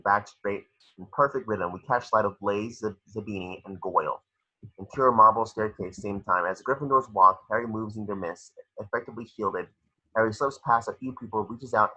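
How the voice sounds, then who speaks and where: A man reading screenplay stage directions as a narrator, with a raspy voice. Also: on the low side, bright, forceful tone, lo-fi sound. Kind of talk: scripted narration.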